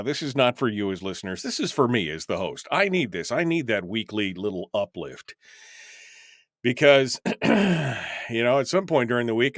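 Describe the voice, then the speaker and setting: A male talk radio host rambles through his show rundown with lots of fillers, jokey asides and self-deprecating remarks. His voice sits at an ordinary pitch and sounds close and dry, with no room around it. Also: fairly bright tone, no echo. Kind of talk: casual live talk radio. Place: radio studio.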